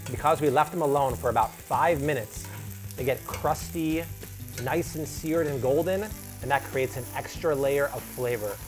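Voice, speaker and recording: A man's voice: medium pitch, normal speed. Chicago man in his thirties, bouncy cooking host, home kitchen, lapel mic, music faint underneath. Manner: upbeat, playful, salesy. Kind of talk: energetic cooking demo.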